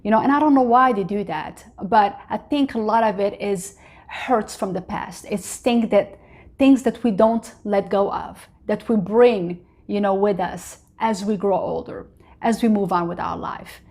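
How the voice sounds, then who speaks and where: A woman's voice: medium pitch, unhurried, a little mellow. A middle-aged woman from Morocco, English not her first language, hosting her own vlog and talking earnestly about her life, with many "you know"s and "uh"s. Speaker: vlogger host. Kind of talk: personal motivational talk to camera.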